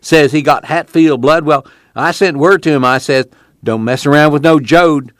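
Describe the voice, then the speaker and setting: A man's voice: fairly high pitch, moderate pace, no room sound, pitched above the performer's own voice, lightly acted. Man acting old grandfather, rural American accent, cantankerous, boastful, folksy, storybook character, close dry sound. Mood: scornful bragging. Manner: blustering, cussing, folksy talk.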